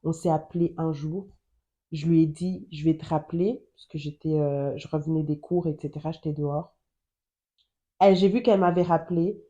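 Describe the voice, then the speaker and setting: Earnest woman sharing faith, mellow low voice. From Germany. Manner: earnest, repeats phrases for emphasis. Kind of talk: personal testimony and Bible teaching.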